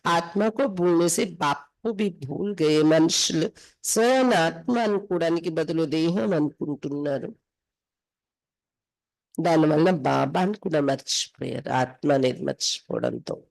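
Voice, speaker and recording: A woman's voice: sits low, very animated, measured pace, creaky, darkish tone. A woman from India, reading scripture aloud and explaining it phrase by phrase as a spiritual teacher. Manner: instructive, reads then translates and explains phrase by phrase.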